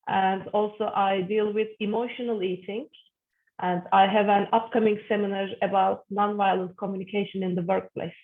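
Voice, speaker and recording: A woman in her early thirties from Turkey, speaking with a Turkish accent as an interview guest, earnest and deliberate in her phrasing. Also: mid-range voice, level tone, narrow, tinny audio. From Istanbul, Turkey. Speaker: guest, psychologist and yoga teacher. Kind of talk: interview, personal account.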